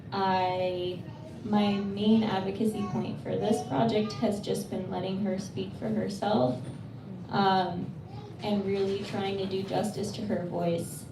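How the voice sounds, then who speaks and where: A woman translator answering audience questions at a live bookstore reading, speaking earnestly and thoughtfully with frequent restarts. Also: medium pitch. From United States. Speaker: poetry translator. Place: bookstore event space. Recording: roomy, distant, noisy room sound.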